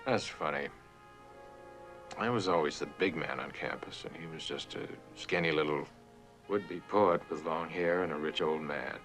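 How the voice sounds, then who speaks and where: An actor playing an anxious, insistent professor in an earnest, pressing manner, in a vintage suspense drama. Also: male voice, a bass voice, moderate pace, fairly bright tone, faint music behind. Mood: reminiscing. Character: insistent, anxious professor seeking an old college friend. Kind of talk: mid-20th-century suspense drama acting.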